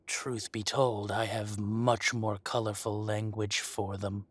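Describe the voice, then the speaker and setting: Slow, low-voiced menacing mafia dragon boss, acted by a man. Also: level tone, a bit bright, no echo. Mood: coolly menacing. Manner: slow, deliberate, mock-polite, threatening. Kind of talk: scripted roleplay audio drama monologue.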